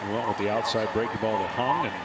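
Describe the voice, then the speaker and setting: A man's voice: on the low side, steady tone, fast. A man working as a baseball color analyst, explaining the game in a conversational way during live commentary. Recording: broadcast with loud stadium noise behind. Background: loud ballpark ambience.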